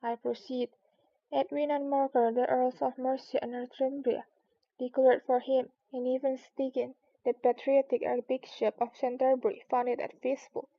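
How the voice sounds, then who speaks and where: A woman plays a self-important storybook mouse who lectures everyone. The voice is high and quite even, with a touch of authority and a short temper. The sound is slightly dull. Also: normal speed. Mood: pompous, cross. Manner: pompous, commanding.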